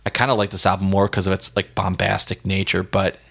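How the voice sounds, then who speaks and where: A man's voice: low-pitched, monotone, fast, creaky, a bit bright. A man co-hosting a casual music discussion, talking in a hesitant, self-deprecating, indecisive way. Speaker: music podcast co-host.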